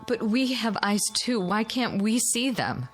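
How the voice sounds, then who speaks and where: A woman's voice: a little high, sing-song, measured pace, faint music behind. An actress playing an anxious, determined mother in a radio drama, speaking with emotional insistence. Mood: anxious, pleading. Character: distraught, determined mother of a missing little girl. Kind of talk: modern radio drama acting.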